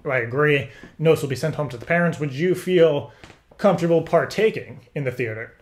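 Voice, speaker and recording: A man talking casually on a phone call as if interviewing someone, in a rambling, stammering, halting way. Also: on the high side. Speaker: prank caller.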